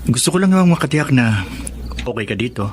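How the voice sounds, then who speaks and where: A man's voice: medium pitch, big pitch swings, fast, darkish tone, slight background noise, close to an everyday voice. A Filipino man playing a courteous, caring suitor in a radio drama. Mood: polite, caring. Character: polite, caring male suitor of a housemaid.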